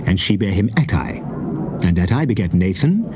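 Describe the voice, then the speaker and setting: A man narrating scripture in a solemn, measured, declamatory manner, as in a dramatized King James Bible reading. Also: deep voice, sing-song, highs cut off. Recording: noisy old cassette tape recording.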